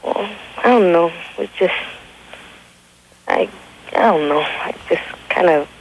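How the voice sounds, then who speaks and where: Young teenage boy, high pitch, creaky, halting, faintly noisy phone call to radio. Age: 13-year-old boy. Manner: hesitant, halting. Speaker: phone caller.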